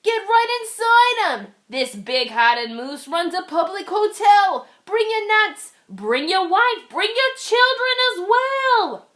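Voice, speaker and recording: Lady doing a brash storybook woodpecker, cheery, calling out, high n swoopy. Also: big pitch swings, slow, hardly any pauses, a bit bright, full character voice. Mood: brash, inviting. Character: brash, welcoming woodpecker freeloader.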